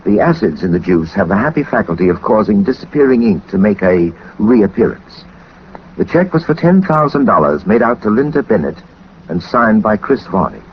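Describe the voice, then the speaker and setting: An actor playing a suave, confident gentleman detective in a 1940s radio drama, speaking with dry, formal wit. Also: fairly low pitch. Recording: muffled, noisy 1940s radio recording.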